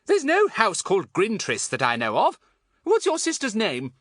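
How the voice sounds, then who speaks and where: A man's voice: sits high, wildly swooping pitch, normal speed, fairly bright tone, no room sound, clean studio sound, pitched above the performer's own voice, full character voice. A man voicing a suspicious, brusque thin little woman out shopping in a storybook. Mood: suspicious, curt. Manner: brusque, dismissive.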